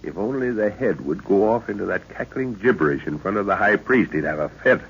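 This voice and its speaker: A man's voice: lowish voice, animated, fairly quick, vocal fry, slight background noise. A man playing an older explorer professor and protective father in an old radio serial, explaining and commanding with authority. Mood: grave, commanding. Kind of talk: 1930s-40s radio serial acting. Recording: muffled old radio recording.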